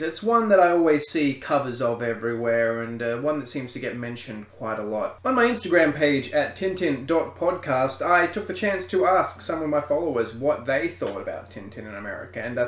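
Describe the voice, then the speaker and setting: A man hosting a podcast, reading scripted commentary and then chatting casually through listener comments. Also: medium pitch. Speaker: podcast host.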